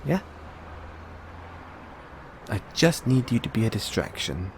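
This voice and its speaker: Male actor as anxious, kindly British android owner turned sleuth; slow, stammering delivery, faint noise behind. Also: on the low side, very animated, exaggerated voice. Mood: quietly determined. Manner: hesitant, halting, stammering. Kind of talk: audio roleplay acting.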